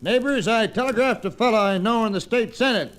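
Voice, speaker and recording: Folksy, slightly high-voiced rancher. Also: male voice, fairly quick, a bit bright. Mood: addressing neighbors. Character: plain-spoken, folksy male rancher. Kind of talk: old-time radio western acting.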